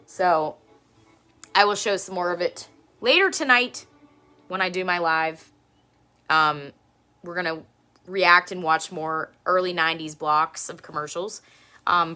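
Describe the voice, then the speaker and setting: A woman in her thirties with a low speaking voice, chatting casually and rambling as she hosts a live-stream show and tell from home, laughing often. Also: sharp tone.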